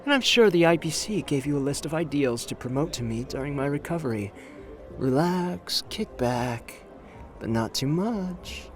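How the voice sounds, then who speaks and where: A man's voice: fairly high pitch, lively intonation, faint music behind, lightly acted. A man playing a suave, flirtatious, confident gambler in an ASMR roleplay audio drama, teasing and intimate in the way he talks. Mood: smooth, relaxed. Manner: teasing, intimate, drawn-out phrasing with pet names.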